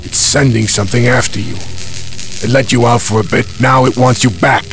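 A man voices a bitter phantom convict in a horror game. His mid-pitched voice is gravelly, weary and full of menace, with some music underneath. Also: steady tone, moderate pace, natural voice, low-quality recording. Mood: ominous, weary. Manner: ominous, weary, profane. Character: bitter, worn-out male ghost of a long-held prisoner. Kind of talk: dramatic horror game dialogue.

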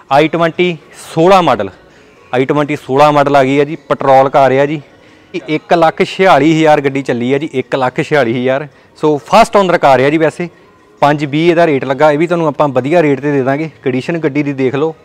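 Young Punjabi car seller, fairly high voice, repetitive showroom walkaround patter. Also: male voice. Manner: repetitive friendly pitch, addresses viewers as brothers. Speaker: car dealer showing stock. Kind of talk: walkaround sales tour. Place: large indoor car showroom.

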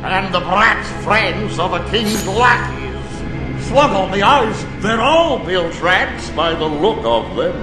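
High, blustering pirate villain. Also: male voice, sing-song, takes it slowly, heavily acted. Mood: scornful. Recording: echoey, loud eerie music.

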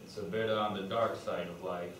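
A man's voice: deep voice, steady tone, fairly bright tone. A man playing a smug shady businessman. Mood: smooth. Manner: slow, chummy, laughs at own jokes. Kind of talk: live improv comedy. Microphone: far room camera. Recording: echoey theater from far off, room noise.

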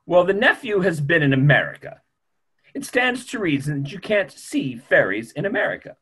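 Grumpy, opinionated older male doctor played by a man, theatrical, emphatic, very animated, slightly high pitch. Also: moderate pace, slightly rough, exaggerated voice. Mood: dry disapproval. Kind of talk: theatrical play reading. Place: small home room on a video call.

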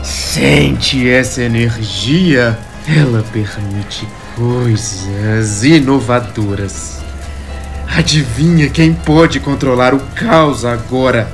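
Deep gloating villain. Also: female voice, slow, exaggerated voice. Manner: taunting, gloating. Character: mocking villain who commands chaos. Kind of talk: audio drama acting. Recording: music bed behind.

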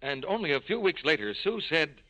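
Bright, animated male radio announcer. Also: fairly quick, dry recording, lo-fi sound. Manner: polished sales pitch. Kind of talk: scripted 1940s radio announcing.

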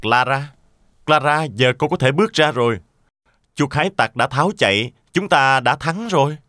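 A man's voice: mid-range voice, normal speed, pushed and bright, dry recording, studio quality, close to an everyday voice. A man playing a gallant young nutcracker soldier in a cartoon fairy tale, courteous and earnest. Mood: reassuring.

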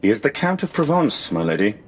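A man's voice: medium pitch, sing-song, a little fast, close to an everyday voice. A man playing a stern, formal, dutiful castle guard in a video game. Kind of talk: video game cutscene acting. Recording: echoing hall with faint ambient noise.

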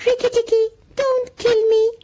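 A woman putting on a squeaky, weepy little muskrat. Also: little pitch movement, a little slow, dark tone. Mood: fearful pleading. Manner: squeaky, pleading and tearful. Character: timid, weepy male muskrat, small and frightened. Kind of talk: storybook reading for children.

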